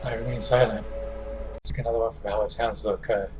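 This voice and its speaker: A man's voice: lowish voice, flat delivery, a little fast. A man giving casual playthrough commentary, reading out choices and reacting to a game. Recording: game music behind the voice. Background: game music.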